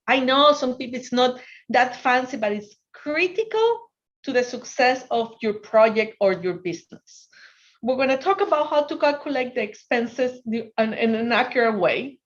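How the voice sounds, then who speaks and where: A woman's voice: on the high side, lo-fi sound. A woman from Ecuador with a Spanish accent, a native Spanish speaker, presenting a finance workshop online and often restarting her sentences. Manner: earnest, restarts sentences, asks if the audience can hear.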